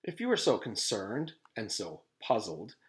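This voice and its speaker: A man's voice: fairly low pitch, wildly swooping pitch, a little slow. A man voicing a stern, suspicious mystery man, questioning coldly and formally in a children's storybook. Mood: skeptical. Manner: cold, formal, skeptical questioning. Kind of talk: children's storybook read-aloud.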